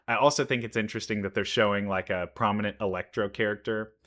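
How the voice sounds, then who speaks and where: A man's voice: fairly low pitch, fairly even intonation, fast, fairly bright tone. A man giving casual reaction commentary, thinking aloud with analytical asides. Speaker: reaction video host.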